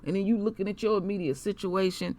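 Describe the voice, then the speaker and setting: A man's voice: quick pace, darkish tone. A middle-aged man hosting his own commentary, talking unscripted and passionately to listeners he calls his family, heating up into rants. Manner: impassioned, preachy, addresses listeners as royal family; heated and ranting, rising pitch when angry. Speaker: online commentary host.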